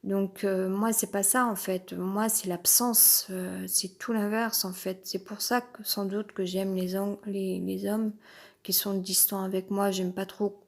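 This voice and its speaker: A woman's voice: medium pitch, flat delivery, measured pace, vocal fry. A woman with a head cold talking off the cuff in a rambling, hesitant monologue, full of fillers and restarts. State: has a cold, stuffy. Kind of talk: improvised personal monologue. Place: uncomfortable spot with noise overhead.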